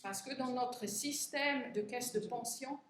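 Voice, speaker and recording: A woman's voice: fairly high pitch, unhurried. A middle-aged woman from Switzerland giving a talk in French, which is not her first language, hesitating often with fillers and restarts. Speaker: conference speaker. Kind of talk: lecture to union retirees. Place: conference hall. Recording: echoey hall, faint room noise.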